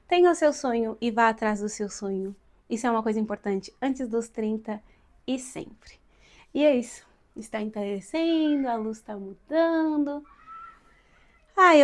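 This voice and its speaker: Brief: late-twenties São Paulo woman, bright-pitched and chatty, encourages her viewers directly. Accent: São Paulo Brazilian Portuguese. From São Paulo, Brazil. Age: late 20s. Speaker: vlogger and Portuguese teacher. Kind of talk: casual advice vlog.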